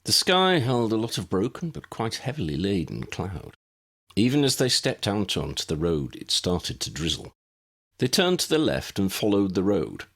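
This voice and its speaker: A man reading a story aloud as an audiobook narrator, in an expressive storytelling style. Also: on the low side, normal speed. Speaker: audiobook narrator reading own fan fiction.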